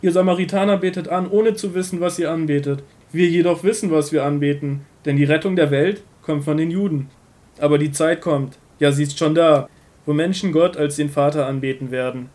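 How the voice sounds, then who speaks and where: Man playing authoritative prophet and teacher, fairly high male voice, solemn, measured, emphatic, Bible radio play. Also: fairly even intonation, darkish tone, close to an everyday voice. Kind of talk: scripted audio drama of a Bible story.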